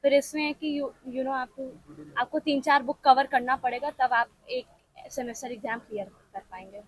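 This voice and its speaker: A woman's voice: high voice, steady tone, moderate pace, no echo, rough recording. A young woman student answering interview questions and explaining things point by point. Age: young adult. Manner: explains step by step, lists subjects.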